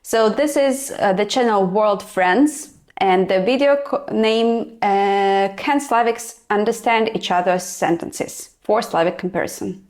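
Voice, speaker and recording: A woman's voice: mid-range voice, measured pace, a little mellow. A woman with a Russian accent hosts a reaction video, talking in a chatty, hesitant way with many fillers. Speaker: reaction channel host. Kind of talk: casual reaction commentary.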